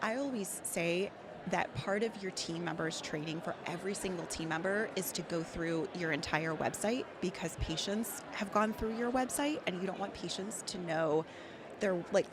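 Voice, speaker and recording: A woman's voice: on the low side, normal speed. A woman speaking as an expert guest in an interview, giving emphatic, instructive advice in a conversational way. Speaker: practice management consultant and guest speaker. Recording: clear background noise, urban ambience.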